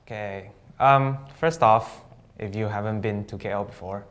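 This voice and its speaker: A young man from Sabah, a student guest chatting casually on a live stream, relaxed and jokey with slang and hesitant fillers. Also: lowish voice, normal speed. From Sabah, East Malaysia. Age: young adult.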